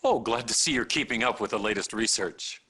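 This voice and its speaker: An actor playing a stern, condescending senior doctor in a video game cutscene, admonishing the player with dry authority. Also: male voice, lowish voice, a little fast, pushed and bright, husky. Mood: dry approval. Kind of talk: acted game cutscene dialogue addressed to the player.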